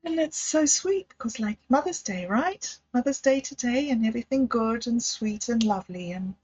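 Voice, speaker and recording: A woman's voice: on the high side, lively intonation, normal speed, darkish tone. Effusive rambling woman on a lo-fi livestream. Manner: effusive, rambling, self-interrupting. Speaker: livestream host. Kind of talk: rambling solo livestream chat.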